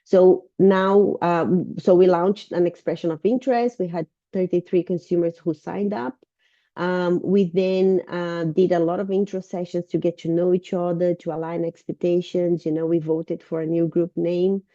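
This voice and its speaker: Female presenter, Brazilian accent, very mellow and lowish voice, slightly off from illness, informal academic delivery. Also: level tone, normal speed, dry recording. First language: Portuguese. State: sick, voice sounding a bit off. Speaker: university researcher and lecturer presenting. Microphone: video call. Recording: dull, muffled video-call audio.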